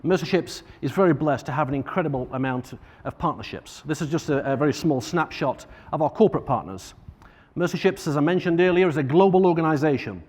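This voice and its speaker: A man's voice: on the high side, animated, a little fast, a little mellow. Northern English man speaking in a reverberant hall. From Northern England. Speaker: charity corporate partnerships manager giving a keynote. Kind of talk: conference keynote speech to an audience. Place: large conference room, on stage.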